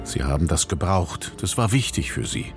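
A man reading a voice-over translation in a calm, plain way. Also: low-pitched, moderate pace, soft background music.

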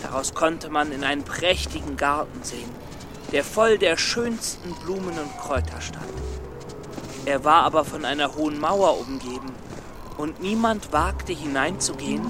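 A man playing a fussy, optimistic scholar and storyteller in a children's radio play, expressive and prone to stammer. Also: fairly high pitch, lightly acted. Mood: storytelling.

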